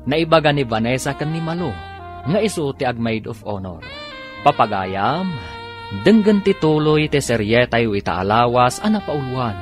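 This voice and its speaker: A male announcer narrates a radio drama with dramatic emphasis and an Ilocano accent. His mid-pitched voice moves through a lively range, and music plays clearly behind him. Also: moderate pace.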